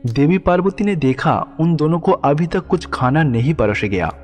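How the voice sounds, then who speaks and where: A man's voice: on the high side, animated, moderate pace. A man narrating a scripted devotional storybook tale as the narrator. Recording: long reverb, background music.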